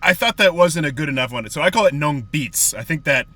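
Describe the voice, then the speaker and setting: Bright-voiced man hosting a podcast. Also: fairly high pitch, animated, a little fast. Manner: easygoing, asks short questions. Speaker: podcast host. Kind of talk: casual interview chat.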